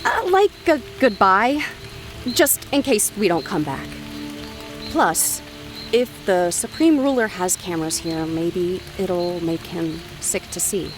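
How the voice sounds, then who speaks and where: A woman's voice: fairly low pitch, animated, slow pace. An actress playing an earnest, anxious young woman companion who pleads with emotion, in an audio drama. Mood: shy. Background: music.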